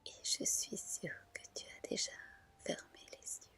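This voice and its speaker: A woman hosting whispered ASMR, gently and soothingly guiding a single listener into relaxation. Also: measured pace. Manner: soothing, gentle, intimate address to one listener. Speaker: ASMR channel host and reader.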